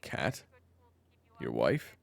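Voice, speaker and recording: A man playing a jaded, dryly sarcastic former thief in an audio roleplay, with a deadpan manner. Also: very slow. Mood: weary. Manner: deadpan, dry humor. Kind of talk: audio roleplay acting, phone conversation.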